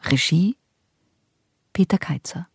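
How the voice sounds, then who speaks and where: A woman's voice: on the low side, slow, vocal fry, darkish tone, slightly rough, no echo, studio quality. A woman formally reading out the credits of a radio play as an announcer.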